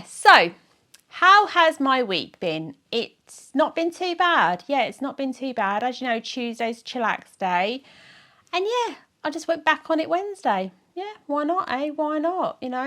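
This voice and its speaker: Croaky woman vlogging. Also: sits high, a bit bright. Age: middle-aged. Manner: chatty, giggly, self-mocking, rambling with fillers. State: croaky, sore throat. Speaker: weight-loss vlogger. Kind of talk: casual chat to camera.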